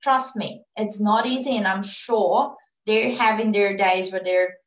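A woman's voice: mid-range voice, measured pace, roomy sound, rough recording. A woman who runs a PR agency, an immigrant whose first language is not English, answering interview questions earnestly with many fillers and restarts. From immigrant to Australia. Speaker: PR agency owner, guest.

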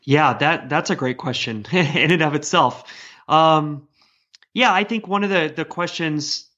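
Man, fairly high voice, earnest, discursive, podcast guest, casual conversation, muffled lo-fi audio. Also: measured pace, bright, forceful tone. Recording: dull, lo-fi sound.

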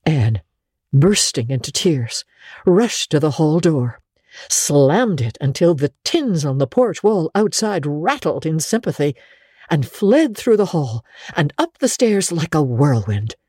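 A female audiobook narrator with a lowish voice and very lively intonation. She takes her time over literary prose, giving it theatrical, deliberate expression. The sound is dry and intimate. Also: breathy edge, dry recording.

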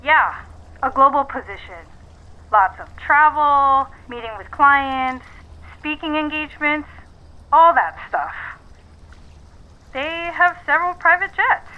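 An actress playing a brisk, persuasive businesswoman on a phone call in a naturalistic audio drama, with a businesslike, coaxing manner. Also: sits high. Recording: dull, band-limited phone line.

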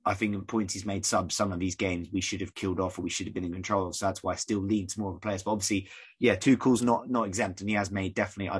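A man from the UK hosting a casual podcast, rambling and conversational, his voice croaky. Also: deep voice, steady tone, fast talker. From United Kingdom. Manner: rambling, conversational, many fillers. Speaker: podcast host.

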